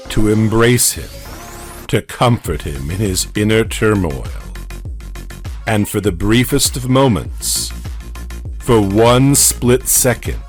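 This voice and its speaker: A man narrating a scripted fantasy story in a solemn, theatrical, deliberate manner. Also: lowish voice. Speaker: narrator of an audio comic.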